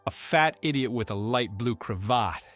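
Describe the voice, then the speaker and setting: Male narrator as resentful, awkward man for audiobook drama; sardonic, very lively intonation, faint music. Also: medium pitch, moderate pace, lightly acted. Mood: bitter, scornful. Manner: indignant, sardonic. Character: bitter, awkward overweight immigrant man, resentful, nursing old grudges.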